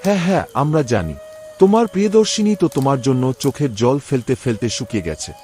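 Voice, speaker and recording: A man's voice: medium pitch, lively intonation, fairly quick, darkish tone, faint music behind, close to an everyday voice. A man voicing a jovial soldier who teases his comrade in a cartoon drama. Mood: teasing. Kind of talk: cartoon story voice acting.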